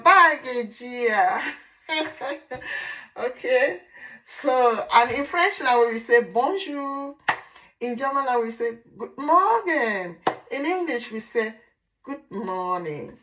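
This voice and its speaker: A Nigerian woman whose first language is Igbo, speaking English with a Nigerian accent as a language teacher in a casual vlog, drilling words slowly and repeating them. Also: a little high, a bit bright, rough recording. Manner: repetitive drilling, slow deliberate pronunciation.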